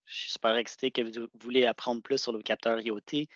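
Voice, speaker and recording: A man's voice: medium pitch, flat delivery, fast talker, a bit bright, dry recording. A man giving a product presentation over a video call, speaking conversationally with hesitant restarts. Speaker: sales engineer presenting products. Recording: dull, dry video-call audio.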